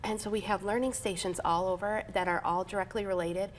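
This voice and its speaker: A woman's voice: medium pitch, fairly even intonation, brisk. A woman in her thirties speaks as an airport manager in a TV studio interview. She talks eagerly and at length, with frequent fillers and restarts. A lapel mic keeps her close, over a faint background hum. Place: TV news studio.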